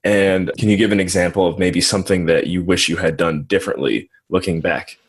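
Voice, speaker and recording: Encouraging male interviewer, low voice. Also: fairly even intonation. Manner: encouraging, affirming, quick to agree. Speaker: interview host. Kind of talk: conversational interview.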